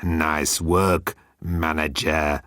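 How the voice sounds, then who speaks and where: A big hairy god of thunder, acted by a male audiobook reader, speaking slowly and ponderously in a low voice. He rambles along like a none-too-bright giant in a comic space tale, recorded very dry. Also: level tone, dry recording, exaggerated voice. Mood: earnest, pleased.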